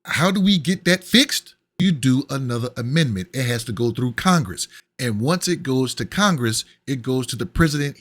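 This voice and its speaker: A man's voice: medium pitch, fairly bright tone. A man giving heated, blunt political commentary, profane at times and often repeating himself.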